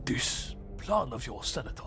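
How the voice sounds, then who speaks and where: A man voicing a nervous, scheming alien trade magnate with a creaky, halting voice in a comic dub, faint music behind. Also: medium pitch, sing-song, sharp tone, heavily acted. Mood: anxious, guarded.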